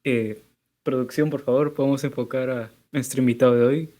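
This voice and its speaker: A man's voice: mid-range voice, steady tone, brisk, mellow. A man hosting an interview podcast, introducing his guest in an upbeat, playful way and asking questions. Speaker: podcast host.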